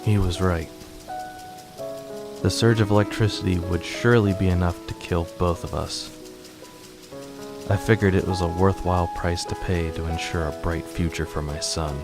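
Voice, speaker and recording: A man giving a measured, solemn scripted narration for a radio drama in a gravelly voice. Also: low voice, steady tone, music in the background. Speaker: writer and narrator.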